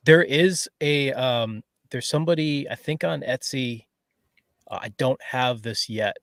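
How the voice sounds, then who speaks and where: A man's voice: mid-range voice, creaky, no room sound. Thoughtful man, dry close sound. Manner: thoughtful, explanatory, measured. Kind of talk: casual chat.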